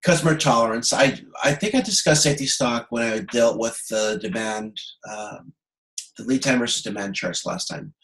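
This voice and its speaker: A man giving an online lecture as an instructor, explaining problems step by step in a halting way and often checking that he is understood. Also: mid-range voice, a bit bright. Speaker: university instructor. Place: video conference call.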